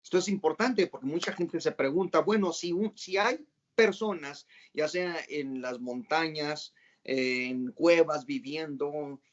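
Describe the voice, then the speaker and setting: A man's voice: fairly high pitch, measured pace, lo-fi sound. A man hosting a casual religious interview, asking long questions that are full of fillers and rephrasing. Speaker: host and interviewer.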